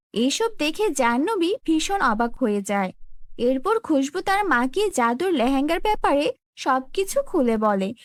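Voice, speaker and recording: A woman narrator reading a scripted fairy tale for a cartoon. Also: sits high.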